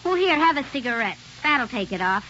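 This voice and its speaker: An actress playing a brassy, flirtatious barroom floozy in a 1940s radio drama, with a coaxing, slangy way of talking. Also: female voice, on the high side, sing-song, fairly quick, pushed and bright, a little hiss or hum. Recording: dull old radio broadcast recording.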